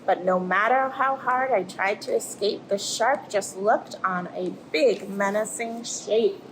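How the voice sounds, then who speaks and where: A woman voicing a friendly, plucky little octopus in an expressive rhyming picture-book read-aloud. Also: mid-range voice, a little slow, lightly acted, low-quality recording. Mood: anxious, suspenseful. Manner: expressive storytelling. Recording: clear background noise, aquarium room ambience.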